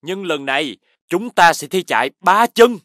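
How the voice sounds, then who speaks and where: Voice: medium pitch, animated, moderate pace, fairly bright tone, no echo, studio quality, lightly acted. An actor playing a schoolteacher making announcements to young pupils in a children's cartoon. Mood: announcing.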